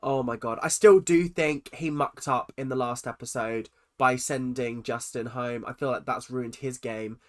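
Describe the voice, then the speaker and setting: A man with a stuffy, nasal voice from a cold, chatting excitedly as he reacts to a show. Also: mid-range voice, moderate pace, hardly any pauses. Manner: excitable, chatty, swings between loud exclamations and muttered asides. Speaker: reaction video host. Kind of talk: casual reaction commentary.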